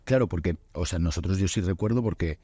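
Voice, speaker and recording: A man from Spain hosting a podcast interview in a studio, talking in a relaxed, halting way with many fillers and restarts. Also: low voice, breathy edge, no echo. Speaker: podcast host. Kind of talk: casual interview chat.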